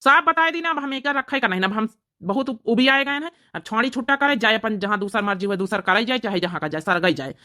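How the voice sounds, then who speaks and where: Woman acting hot-tempered, fast-talking village husband. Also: fairly high pitch, expressive, sharp tone. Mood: fed up. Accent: Awadhi. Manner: blunt, repetitive griping. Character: fed-up, hot-tempered village husband, younger brother-in-law. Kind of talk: animated family comedy dialogue.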